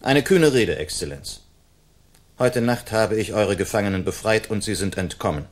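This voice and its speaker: A gallant outlaw swordsman with a fairly low voice. He is proud and defiant, giving formal commands and threats in the manner of a radio play. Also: animated, normal speed. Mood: threatening. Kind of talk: German radio play acting.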